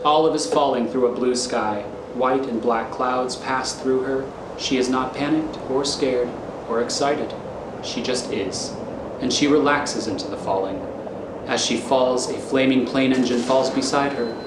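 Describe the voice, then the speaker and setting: A man's voice: medium pitch, a little slow. A man narrating scripted scene directions for a radio thriller. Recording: echoey room, clear background noise.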